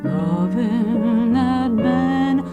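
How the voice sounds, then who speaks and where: A woman's voice: fairly high pitch, drawn-out words. A woman singing a show tune live as a jazz standard in a radio studio, accompanied by piano. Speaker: singer.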